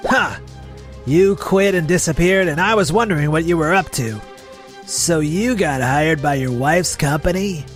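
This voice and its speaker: An arrogant, easily rattled young manager from a manga romcom dub, acted by a man. His voice sits slightly high for a male and turns indignant and defensive. Soft music plays underneath. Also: measured pace, natural voice. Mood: scornful, indignant. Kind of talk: dramatized manga story dub.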